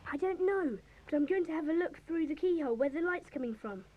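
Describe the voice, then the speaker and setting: A woman playing a bossy, excitable schoolboy club leader in a children's audio drama, with a commanding, eager manner. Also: high-pitched, quick pace, phone-quality sound. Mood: tense, secretive.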